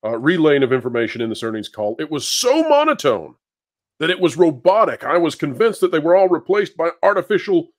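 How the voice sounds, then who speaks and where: A man's voice: a little high, big pitch swings, fairly quick. A man giving opinionated live commentary as a panelist, theatrical and sarcastic in a declamatory way.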